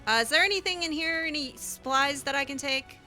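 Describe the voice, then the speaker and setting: A woman doing casual, in-character video game commentary, chatting and thinking out loud as she plays. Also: high-pitched, fairly quick, faint music behind. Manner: chatty, musing aloud. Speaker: gamer narrating a roleplay playthrough.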